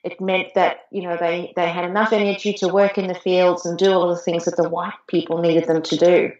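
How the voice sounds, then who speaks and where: A woman's voice: lowish voice, flat delivery, a little fast, darkish tone. A woman co-host from South Africa, speaking thoughtfully and hesitantly in a casual chat. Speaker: podcast co-host. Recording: roomy, dull lo-fi sound.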